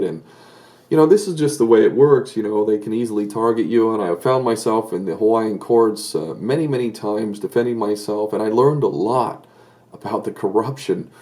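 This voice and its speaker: Earnest man with a low, mellow voice. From Southern California. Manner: earnest, hesitant with many fillers and restarts. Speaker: political commentator. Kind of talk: solo video commentary.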